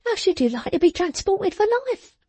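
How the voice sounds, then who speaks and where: A woman reading aloud, voicing a sharp-tongued, bossy young dressmaker girl who scolds with snapping emphasis. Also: very high voice, lively intonation, normal speed, no room sound, pitched above the performer's own voice. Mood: scolding.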